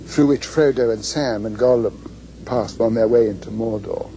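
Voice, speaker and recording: An older man with an English accent, reminiscing and reading letters aloud in a measured, emphatic, literary way. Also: mid-range voice, dark tone, slight background noise, poor audio. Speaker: author's son giving reminiscences and readings.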